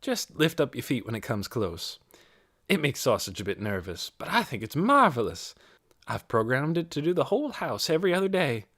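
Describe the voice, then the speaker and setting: A man's voice: medium pitch, sing-song, normal speed, a bit bright. A man voicing a cheery, bossy older churchgoing woman in an audiobook, with a sugary, emphatic, self-assured way of talking. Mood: cheery, hospitable. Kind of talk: audiobook character dialogue.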